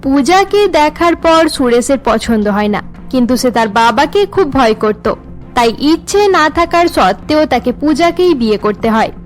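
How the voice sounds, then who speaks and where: A woman narrates a cartoon story from a script. Her voice is high-pitched. Faint music plays behind the narration. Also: lively intonation, normal speed.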